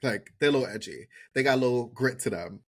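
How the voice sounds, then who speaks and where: A man's voice: mid-range voice, animated, fast. Slangy man chatting on a podcast. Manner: slangy, swears freely, emphatic repetition. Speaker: podcast co-host. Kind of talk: casual chat, TV show recap.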